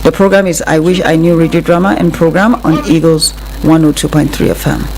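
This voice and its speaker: Low-voiced Nigerian woman radio host. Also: steady tone, a little fast, darkish tone, soft background music, low-quality recording. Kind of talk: conversational radio talk, personal storytelling.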